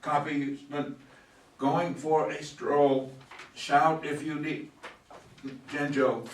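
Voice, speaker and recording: A man's voice: on the high side, flat delivery, slow. Easygoing astronaut, distant and echoey read by a man. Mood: relaxed, dutiful. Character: easygoing, teasing male astronaut on a moonwalk. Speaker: amateur reader in a staged play reading. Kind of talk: amateur play reading. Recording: echoey room, distant mic.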